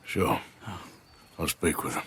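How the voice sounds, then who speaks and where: A man playing a gruff, weary outlaw cowboy in a western game, laconic and skeptical. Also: takes it slowly, a bit bright, slight background noise. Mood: reluctant. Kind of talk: cinematic western game acting.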